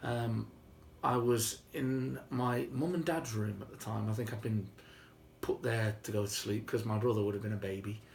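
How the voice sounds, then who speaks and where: A man telling a story informally and whimsically, with dramatic pauses and emphasis. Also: on the low side, fairly even intonation, vocal fry. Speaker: storyteller and video host. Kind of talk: informal improvised oral storytelling. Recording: roomy, faint background noise.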